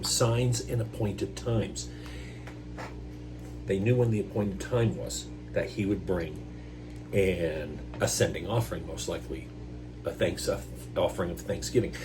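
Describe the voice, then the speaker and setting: A man hosting a scripture reading, stopping for casual, self-deprecating asides and clearing his throat through his allergies. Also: sits low. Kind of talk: Bible reading with informal commentary. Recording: noisy recording with electrical hum.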